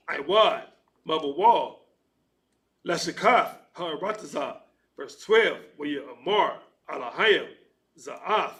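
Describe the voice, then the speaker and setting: A man reads scripture aloud at a very slow, careful pace. His voice sits a little high and sounds bright. He often stops and restarts hard words. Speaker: scripture reader. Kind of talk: reading scripture aloud in a religious class.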